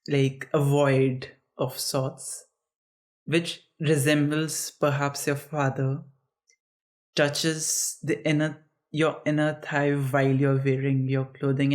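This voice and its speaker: Author reading own story, slow delivery, halting, chatty asides. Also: mid-range voice, little pitch movement, a little mellow.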